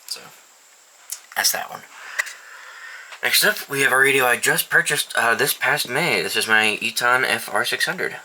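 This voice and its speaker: A man's voice: mid-range voice, normal speed, pauses often, sharp tone, slight background noise, low-quality recording. A young man casually showing off his weather radio collection, rambling and swearing freely, in an indoor room. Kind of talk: casual show-and-tell vlog. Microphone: camera mic.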